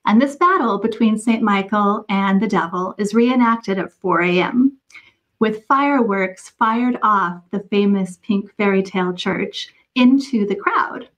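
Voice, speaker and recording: Woman, mid-range pitch, author guest on a podcast, measured, articulate, conversational storytelling. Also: poor audio. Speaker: novelist being interviewed as podcast guest. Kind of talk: conversational anecdotal interview answers.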